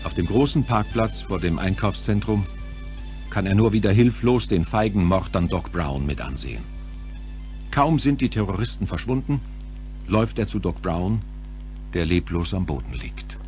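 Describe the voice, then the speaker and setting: Low-voiced male audio drama narrator. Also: animated, moderate pace, a little mellow. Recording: music under the narration.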